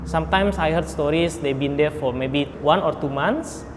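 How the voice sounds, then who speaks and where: Man speaking as refugee advocate, measured explanations, long room echo, faint background hum. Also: fairly high pitch. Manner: measured, explanatory, non-native grammar. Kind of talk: documentary interview. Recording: reverberant room with faint ambient noise.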